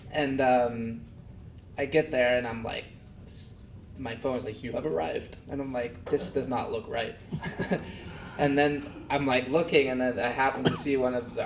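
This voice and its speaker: A young man from Denmark, a race car driver being interviewed, talking softly with many fillers. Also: mid-range voice, distant mic, slight background noise. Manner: soft-spoken, halting with many fillers. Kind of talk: casual interview. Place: pub. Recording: echoey pub, voice from off-mic.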